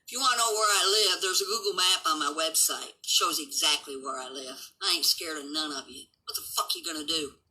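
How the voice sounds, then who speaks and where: A woman's voice: fairly low pitch, brisk, few pauses, sharp tone, rough recording. A woman in her seventies ranting unscripted to viewers as a livestream host, brash, defiant and boastful, with folksy, profane speech. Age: 70s. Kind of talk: unscripted solo rant to viewers.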